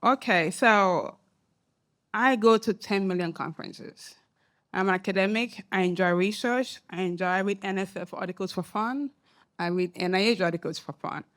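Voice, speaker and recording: A woman with an African accent speaking as an academic panelist, emphatic and animated, often asking "right?". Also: medium pitch, moderate pace. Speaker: panelist, academic and social entrepreneur. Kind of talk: panel discussion remarks. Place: symposium panel room.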